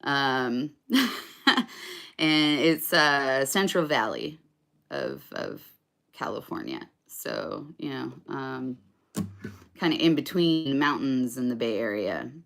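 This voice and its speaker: A woman's voice: a bass voice, big pitch swings, slow pace, vocal fry, pauses often, fairly bright tone. A woman chatting casually with viewers on a live stream between songs, rambling and hesitant with lots of fillers. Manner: chatty, self-deprecating. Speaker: singer-songwriter live streamer.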